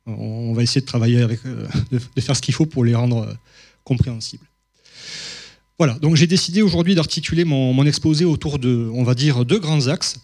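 A man's voice: mid-range voice, brisk, a little mellow. A man from southern France giving a public mathematics lecture, hesitant, with many fillers and restarts.

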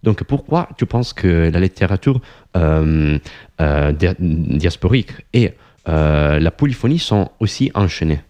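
Very deep, dark-voiced male interviewer. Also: lively intonation. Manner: halting, full of fillers. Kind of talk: interview questions.

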